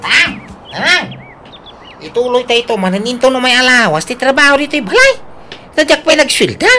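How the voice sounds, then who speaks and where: A woman's voice: sits high, sing-song, normal speed, bright, forceful tone, soft background music, exaggerated voice. A woman voicing an earnest, good-hearted, hardworking young grown son in a comic Ilocano radio drama. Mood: earnest, cheerful.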